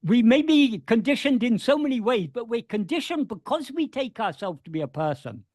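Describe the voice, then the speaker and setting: A man's voice: extremely high pitch, sing-song, normal speed, a little mellow, dry recording, clean studio sound. Earnest man teaching over a video call. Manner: earnest, repeats words while searching for phrasing. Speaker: spiritual teacher answering questions.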